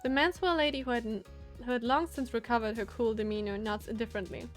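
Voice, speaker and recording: A woman with a high voice streams a visual novel. She moves between read-aloud narration and casual commentary, thinking aloud hesitantly over faint background music. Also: fairly even intonation, fast.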